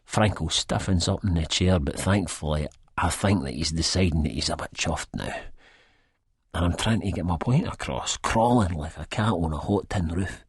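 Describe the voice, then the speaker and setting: An actor playing a nervous, rambling, good-hearted Edinburgh drug-user with a husky Scottish voice, narrating an audiobook in dialect. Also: male voice, deep voice, normal speed, no room sound, studio quality, exaggerated voice. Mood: downcast, rambling. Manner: rambling, colloquial, self-deprecating.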